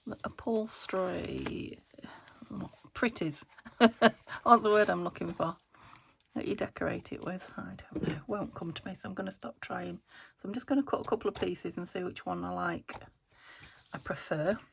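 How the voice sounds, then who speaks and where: A middle-aged woman with a croaky voice from a cold, chatting casually while she crafts and asking little tag questions. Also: on the low side, moderate pace, a little hiss or hum. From England. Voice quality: gravelly. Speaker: craft vlogger. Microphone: phone camera.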